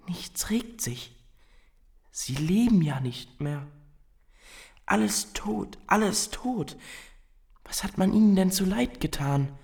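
Low-voiced, wide-eyed, stubborn child. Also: female voice, a little mellow, heavily acted. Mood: horrified, grieving. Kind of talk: German radio play acting.

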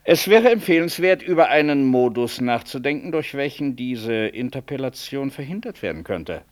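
An actor playing a stiffly polite, scheming hospital colleague in a 1960s German radio drama, hesitant and roundabout in phrasing. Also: male voice, medium pitch, big pitch swings, normal speed, natural voice. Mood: formal, cautious.

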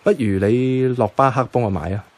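A man's voice: mid-range voice, fairly quick, a little mellow, natural voice. A man playing an easygoing, teasing office colleague in a Hong Kong Cantonese radio drama, casual and coaxing.

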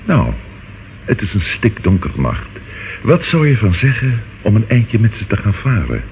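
An actor playing a wry, gruff London police inspector in an old radio play, colloquial and theatrically expressive. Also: male voice, on the low side, moderate pace, full character voice. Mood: conspiratorial. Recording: muffled phone-like old radio recording, faint noise.

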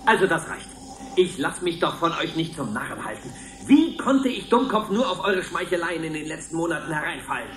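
A man's voice: a little high, animated, fairly quick, a bit bright, reverberant, a little hiss or hum. A man playing a touchy, exasperated supervisor in a radio drama, with a scolding, indignant manner. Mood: offended, vengeful.

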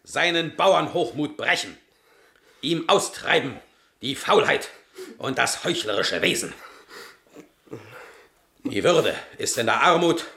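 A gravelly, domineering soldier king in a vintage radio play. He talks slowly, with a bright, sharp edge. The room around his voice is roomy and echoey. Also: fairly high pitch, lively intonation, heavily acted. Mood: harsh. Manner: blustering, domineering. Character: gruff, hot-tempered old soldier king. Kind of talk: 1970s radio drama acting.

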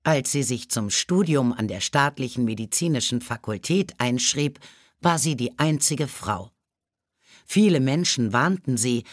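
A woman's voice: extremely low pitch, no room sound, studio quality. A woman reading a scripted biographical story as an audiobook narrator.

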